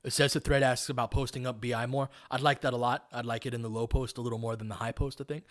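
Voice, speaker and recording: A man's voice: medium pitch, flat delivery, fast, a bit bright. A man calling live basketball play-by-play in a casual, conversational, analytical way. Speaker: play-by-play host.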